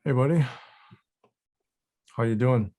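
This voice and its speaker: Guy acting laid back folksy charmer, bit menacing, normal pitched voice, script read. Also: slow pace, dark tone, lightly acted. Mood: guarded.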